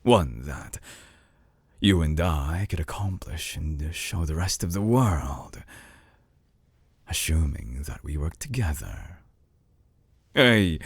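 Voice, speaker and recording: Guy doing a creepy smooth mad scientist villain for audio roleplay, really slow, husky and breathy, teasing and smug, close up on the mic. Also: no room sound, full character voice. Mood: coaxing, seductive. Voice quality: low, breathy, husky murmur. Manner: deliberate, silky, condescending, theatrical. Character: suave, menacing, obsessive villain scientist, cool and teasing. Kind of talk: intimate binaural audio roleplay drama. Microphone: close binaural microphone.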